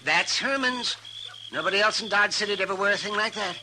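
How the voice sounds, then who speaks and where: An actor playing a crusty, fussy old American frontier doctor in a radio western, with folksy interjections. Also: male voice, sits high, fast. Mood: curious. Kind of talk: 1950s radio western acting. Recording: noisy old radio recording.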